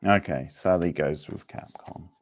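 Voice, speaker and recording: A man hosting a casual live quiz, chatting informally with players and reading out options deliberately. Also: low-pitched. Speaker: quiz host.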